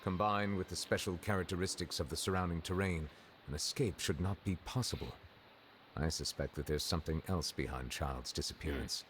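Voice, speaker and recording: Deep, stern, formal chief justice played by a man. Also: slight background noise, natural voice. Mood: grave. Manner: formal, restrained, deliberate. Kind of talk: video game voice acting. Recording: game music behind.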